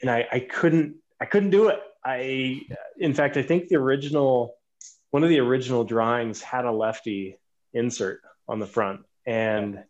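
A man talking as a podcast guest, telling stories in an animated way with halting restarts. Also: mid-range voice, dull audio. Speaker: podcast guest, knife designer. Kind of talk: casual chat.

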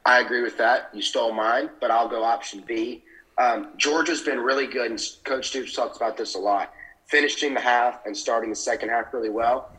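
A young man co-hosting a sports podcast, explaining his points in a measured way. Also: mid-range voice, steady tone, pushed and bright, low-quality recording. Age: young adult. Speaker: podcast co-host. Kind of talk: casual sports chat.

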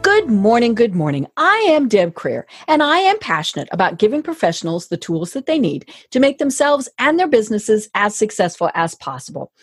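A woman's voice: mid-range voice, sing-song, fairly quick, hardly any pauses, slightly rough, no room sound, studio quality, faint music behind. A woman hosting an interview podcast in a chatty, conversational way, with an enthusiastic, gushing manner. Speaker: podcast host.

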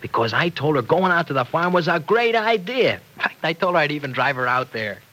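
A man's voice: fairly high pitch, very animated, fairly quick, bright, forceful tone, full character voice. An actor playing an earnest young man in love, casual and a little bashful, in 1940s radio serial acting. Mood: boyish, bashful. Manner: casual, bashful, hesitant when flustered. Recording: faintly noisy old radio recording.

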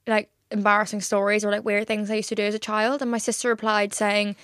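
A woman's voice: medium pitch, flat delivery, fast talker, fairly bright tone, no echo, clean studio sound. A young woman hosting a podcast in a casual chat, swearing freely and laughing often. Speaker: podcast host.

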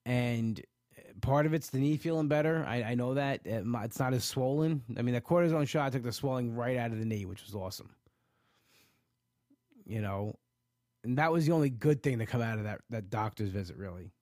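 A man's voice: medium pitch, brisk, a little mellow, no echo, clean studio sound. A man with a stuffed-up nose chatting casually to viewers about his weight loss, rambling with many restarts. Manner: rambling, frequent restarts and "you know". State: stuffed up from allergies. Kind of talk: casual livestream chat reading viewer comments.

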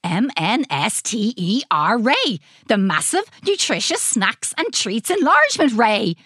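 An eccentric old man of science , acted out by a woman for a children's story. The voice is high and leaps about in pitch, stammering and exclaiming with great excitement, recorded close and dry. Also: measured pace, no room sound, full character voice. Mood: excited boasting.

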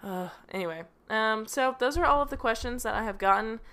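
Rambling young woman podcaster, smooth voice. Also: on the high side, a little fast, fairly bright tone. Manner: rambling, self-deprecating, many fillers. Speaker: podcast host. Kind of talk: casual solo podcast. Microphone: home microphone, drifting away from it.

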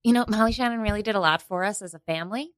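A woman's voice: medium pitch, racing, no room sound, studio quality. A woman from Long Island with a Long Island accent, a comedian guest chatting in an upbeat, wry way. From Long Island, New York. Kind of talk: casual chat.

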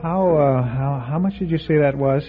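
A man's voice: fairly high pitch, normal speed, natural voice. A man doing a jittery young reporter in a vintage radio play, stammering and full of uneasy fillers. The sound is thin and phone-like with faint music behind. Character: nervous young male traveling reporter, jittery. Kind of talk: old-time radio drama acting.

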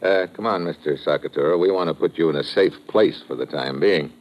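Deep-voiced hard-boiled American investigator played by an actor. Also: male voice, brisk, lightly acted. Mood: brisk, urgent. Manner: hard-boiled, terse, wisecracking. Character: tough, sardonic American male investigator Steve, narrating his case. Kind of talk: old-time radio crime drama acting and narration. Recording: muffled, noisy vintage radio recording.

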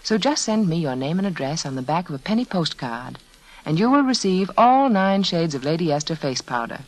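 Female 1940s advertising spokeswoman, animated intonation, measured direct address, old radio recording. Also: lowish voice, poor audio.

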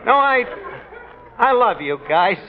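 A blustering New barkeep. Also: male voice, very high-pitched, big pitch swings, slow pace, crowd noise, full character voice. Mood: mock-sentimental. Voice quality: mangled grammar, mock-grand speech. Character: boastful, fast-talking tavern manager man. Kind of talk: 1940s radio sitcom acting. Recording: dull old radio broadcast with hiss.

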